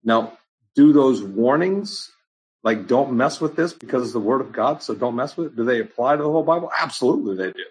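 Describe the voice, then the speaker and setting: Man pastor, husky voice, loose conversational Bible teaching, lots of ums. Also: medium pitch, animated, fairly quick, poor audio. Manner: conversational, many ums and restarts. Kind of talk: informal Bible study answering questions.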